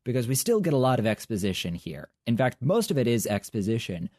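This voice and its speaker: A man's voice: medium pitch, big pitch swings, fast talker, a little mellow, no room sound. A young man co-hosting a podcast, talking in a casual, articulate way with a dry wit. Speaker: podcast co-host. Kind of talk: casual review chat.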